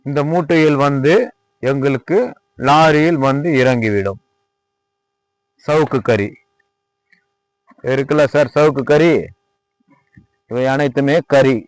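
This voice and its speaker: A man's voice: mid-range voice, slow, long pauses, clear, resonant tone, no echo, rough recording. Tamil Nadu man giving a dry-sounding worksite tour. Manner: repetitive, demonstrative, addressing viewer respectfully as sir. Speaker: lime manufacturer presenting own workshop. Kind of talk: informal product tour and sales pitch. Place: at a lime kiln worksite.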